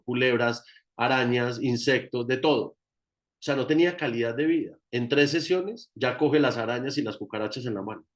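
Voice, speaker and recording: A man with a Colombian accent giving an informal, emphatic teaching talk as a guest therapist, often repeating phrases for emphasis. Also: medium pitch.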